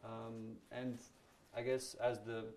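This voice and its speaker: A man's voice: mid-range voice, completely flat delivery, slow, very noisy. A man moderating an onstage conversation, chatty, with many fillers and false starts.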